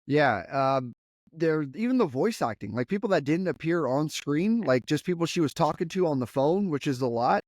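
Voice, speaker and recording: A man's voice: a little high, expressive, moderate pace, darkish tone, no echo, studio quality. A man co-hosting a movie podcast, hesitant with many "um"s but blunt in his verdicts. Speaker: podcast co-host. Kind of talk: casual movie review chat.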